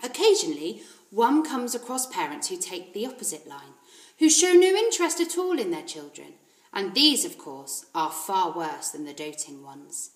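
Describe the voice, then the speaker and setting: A woman, a teacher reading a children's story aloud in an expressive narrating style. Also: medium pitch, moderate pace. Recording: roomy echo.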